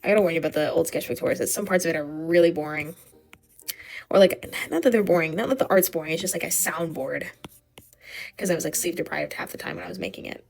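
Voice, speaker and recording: A young woman with a scratchy, hoarse voice rambling casually as she draws, swearing and muttering to herself. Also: deep voice, fast, darkish tone. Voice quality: scratchy, vocal fry. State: voice giving out, hoarse. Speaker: art vlogger. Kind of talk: rambling casual commentary while drawing.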